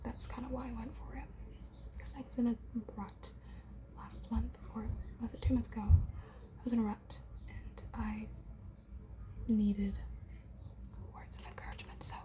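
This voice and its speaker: A woman playing a shy, bookish girl in an ASMR roleplay, soft-spoken and hesitant in a breathy whisper. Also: fairly high pitch, steady tone, unhurried, long pauses, close to an everyday voice. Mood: reflective, hesitant. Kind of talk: whispered ASMR roleplay, improvised casual chat.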